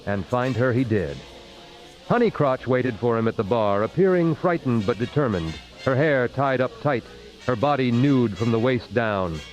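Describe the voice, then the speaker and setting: A man's voice: medium pitch, expressive, moderate pace. A man hosting and narrating a parody radio drama in a theatrical old-time announcer style. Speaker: host and narrator. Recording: muffled old-radio sound with music.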